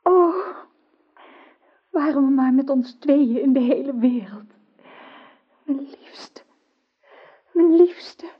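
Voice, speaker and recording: A dull radio play recording of a young noblewoman in love. She speaks slowly in a high-leaning, breathy hush, tender and aching. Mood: tender, yearning. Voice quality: breathy, hushed. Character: lovesick young noblewoman, sad, tender, yearning. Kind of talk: radio drama acting.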